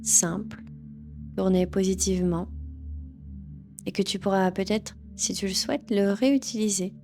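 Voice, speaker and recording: Mellow-voiced woman guiding relaxation over music. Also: medium pitch, steady tone, measured pace. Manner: gentle, soothing, instructional. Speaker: yoga nidra teacher guiding a session. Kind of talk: guided relaxation meditation. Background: background music.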